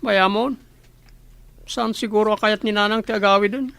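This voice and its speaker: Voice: mid-range voice, steady tone, moderate pace, vocal fry, a bit bright, clean tone, no echo. A performer playing a young, bitter and dismissive older brother in an Ilocano-accented radio drama. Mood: sullen. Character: older brother, young, bitter and resentful toward absent mother.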